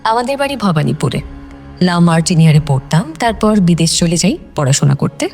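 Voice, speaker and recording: Posh expat wife in radio play, slightly low voice, short answers, faint music. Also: female voice, sing-song, a little fast, darkish tone. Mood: composed. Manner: brief answers, mixes in English words. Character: well-off expatriate wife, educated, self-possessed. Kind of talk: audio drama dialogue.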